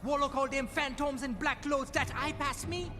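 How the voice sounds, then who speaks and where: Very high-pitched Guyanese street boy. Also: fairly even intonation, normal speed. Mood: cocky, aggrieved. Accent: Guyanese Creole. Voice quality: youthful high voice. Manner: thick creole patois, cocky. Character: plucky streetwise Guyanese orphan boy, brash and boastful. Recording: long reverb under music.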